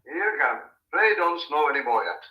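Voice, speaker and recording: A man's voice: fairly high pitch, lively intonation, moderate pace, roomy sound, muffled recording, lightly acted. A man playing an old farmhand in a radio drama, plain-spoken, familiar and fatherly. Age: older. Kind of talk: vintage radio drama acting.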